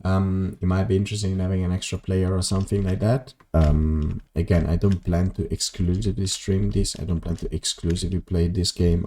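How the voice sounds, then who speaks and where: A young man, chatting loosely while live-streaming a game, full of ums and self-deprecating asides. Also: mellow. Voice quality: very low voice. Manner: rambling, self-deprecating, many ums and restarts. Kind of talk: casual live-stream chat while gaming.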